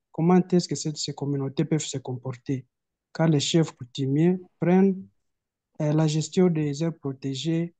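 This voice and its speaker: A man from the DR Congo, asking two formal questions over a video call in a measured, orderly way. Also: on the high side, warm tone. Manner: measured, orderly, with filler "euh". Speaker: audience member asking questions. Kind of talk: formal question in an online talk.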